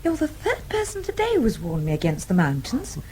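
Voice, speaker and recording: An actress playing a cheerful, curious English sister in a radio drama, polite and spirited, with an English accent. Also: female voice, on the high side, wildly swooping pitch, a little fast, dark tone, slight background noise. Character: bright, spirited English young lady, affectionate sister. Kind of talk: BBC radio drama acting.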